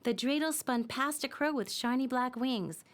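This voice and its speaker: A woman narrating a scripted children's story in a simple, expressive storytelling manner. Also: high-pitched, moderate pace.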